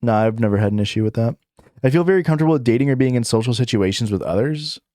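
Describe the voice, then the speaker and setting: A man's voice: medium pitch, lively intonation, rapid-fire, dark tone, dry recording, clean studio sound. A young adult man streaming live, reading questions aloud and answering in a rambling, casual way full of fillers and restarts. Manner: rambling, filler-heavy, self-correcting, chuckles.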